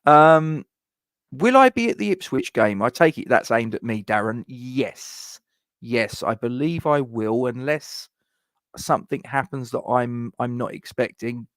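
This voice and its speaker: A man's voice: mid-range voice, lively intonation, measured pace, fairly bright tone, dry recording. A middle-aged man hosts a casual live chat, reading viewer comments and rambling with lots of fillers and restarts.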